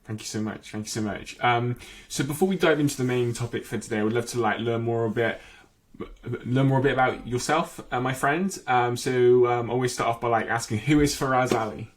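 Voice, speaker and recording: A man hosting a podcast interview, soft-spoken and using many fillers. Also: mid-range voice, fairly quick. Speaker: podcast host.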